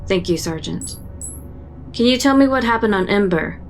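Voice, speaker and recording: A woman's voice: medium pitch, lively intonation, moderate pace, soft background music, lightly acted. A woman playing a formal military investigator in a sci-fi audio drama, controlled and official as she questions a soldier.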